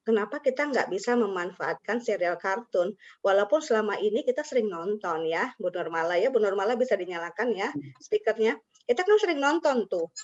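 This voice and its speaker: A woman's voice: medium pitch, animated, brisk, darkish tone. Chatty woman hosting a video-call webinar. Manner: chatty, addresses participants by name with frequent "ya". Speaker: webinar host and teacher trainer. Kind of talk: friendly online webinar presentation. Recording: dull lo-fi video call audio.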